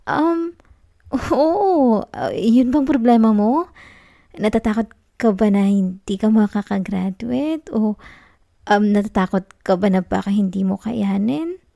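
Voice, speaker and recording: A young woman with a Filipino accent playing a clingy, tender, anxious student girlfriend in an intimate ASMR roleplay, speaking in a soft, coaxing, halting way. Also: medium pitch, lively intonation, creaky, dry recording, clean studio sound. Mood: worried.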